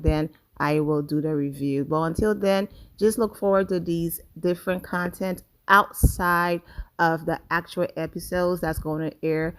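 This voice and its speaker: A woman hosts a celebrity gossip channel with a slightly low voice. She talks straight to her viewers in a chatty, emphatic way, as casual commentary.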